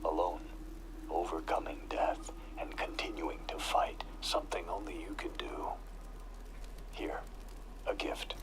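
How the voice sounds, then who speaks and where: A man playing a quiet, solemn covert soldier in a video game, speaking gravely in hushed, breathy tones. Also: a bass voice, monotone, a little slow, pauses often, in a whisper. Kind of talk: video game dramatic dialogue.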